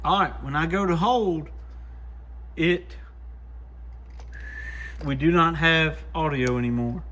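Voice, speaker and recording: A man's voice: fairly high pitch, takes it slowly, background noise. A man giving casual hands-on testing commentary as a host, plainspoken and full of folksy slang. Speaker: host, CB radio shop technician testing used radios.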